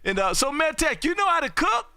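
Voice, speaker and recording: A man chatting and calling as an amateur radio operator on his live stream, loud, folksy and exuberant. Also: very high-pitched, sing-song, brisk, a little hiss or hum. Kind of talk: casual radio chat and calling. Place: home radio room.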